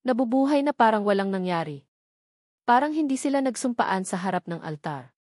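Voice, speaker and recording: A woman's voice: fairly high pitch, expressive, brisk, darkish tone, no echo. A woman with a Filipino accent reading a dramatic scripted story as an audiobook narrator, in a dry recording.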